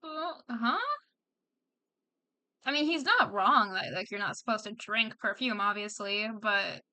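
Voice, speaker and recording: A woman's voice: medium pitch, unhurried, sharp tone, no echo. A woman reacting to a video game as a streamer, chatting casually over the dialogue.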